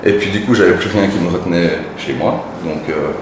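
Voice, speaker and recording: A man in his forties with a Belgian French accent, a guest talking casually in an interview, relaxed and hesitant with many fillers. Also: low-pitched, brisk. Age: 40s. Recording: echoey room, noisy, mic at a distance.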